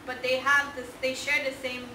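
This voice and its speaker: High-voiced woman asking from the audience. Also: flat delivery, moderate pace. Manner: hesitant, searching for words. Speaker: audience member asking a question. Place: large echoey lecture hall. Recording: echoey hall, far-off, noisy.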